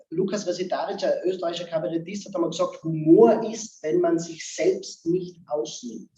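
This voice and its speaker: A man with an Austrian accent talks about humor in a lively, joking way with a mellow voice. His delivery is emphatic and animated. The sound is roomy and somewhat dull. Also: a little high. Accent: Austrian German. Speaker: humor speaker and seminar guest. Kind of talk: lively casual talk-show chat.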